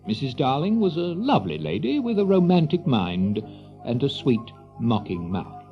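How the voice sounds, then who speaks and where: A man narrating a children's story in a theatrical, deliberate and expressive way. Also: mid-range voice, dull audio.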